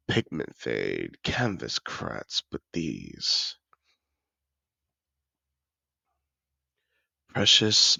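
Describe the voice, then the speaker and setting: A suave, possessive dragon in human disguise, played by a man, speaks in a deep, heavily gravelled voice. He is courtly and seductive, lingering slowly over his words like a collector over treasure in a fantasy audio roleplay. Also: bright, forceful tone, no echo, heavily acted. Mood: savouring, seductive. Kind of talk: romantic fantasy audio roleplay, addressed to the listener.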